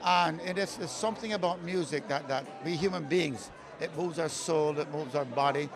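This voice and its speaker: A man's voice: on the high side, moderate pace. A man speaking enthusiastically and a little rambling in a casual interview as a festival organizer. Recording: crowd noise behind.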